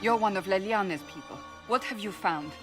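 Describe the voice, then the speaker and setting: A woman's voice: mid-range voice, moderate pace, over music, lightly acted. An actress playing a resolute, earnest woman warrior in a fantasy game, speaking formally and seriously. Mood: businesslike. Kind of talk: fantasy game cutscene dialogue.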